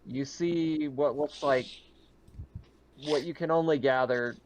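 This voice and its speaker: A man's voice: on the high side, slow, a little mellow, slight background noise. A man running a tabletop horror roleplaying game as game master, moving between improvised scene narration and casual questions to players. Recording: dull, lo-fi online game audio.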